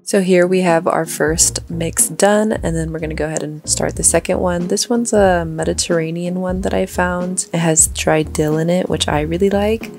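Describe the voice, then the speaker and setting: A young woman in her twenties casually narrating her meal prep in a relaxed, chatty way. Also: lowish voice, moderate pace, gravelly, hardly any pauses, faint music behind. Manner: relaxed, chatty, trailing off with likes. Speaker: vlogger. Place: home kitchen.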